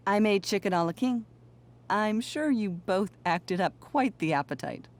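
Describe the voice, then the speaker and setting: A woman's voice: mid-range voice, lively intonation, unhurried. A polished middle-aged mother in a video game scene. Her mid-range voice swings expressively as she plays the gracious, slightly formal and effusive hostess. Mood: gracious, amused. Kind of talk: video game cutscene acting.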